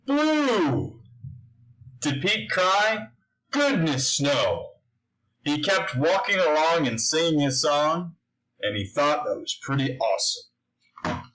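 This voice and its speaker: A man's voice: fairly high pitch, sing-song, takes it slowly, long pauses, a bit bright, poor audio. A man reading a children's picture book aloud, speaking simply and putting questions to his young listeners. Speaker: story reader.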